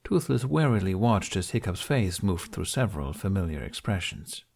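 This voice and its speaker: A man reading an audiobook as narrator, in expressive scripted storytelling. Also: low voice, moderate pace, vocal fry.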